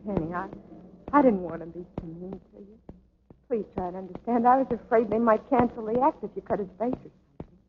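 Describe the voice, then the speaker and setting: An actress playing a gentle young woman dancer who talks in a soft, pleading way with little stammers, in 1940s film style. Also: medium pitch, fairly quick, lightly acted. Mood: tender. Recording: dull old film soundtrack, faint hiss.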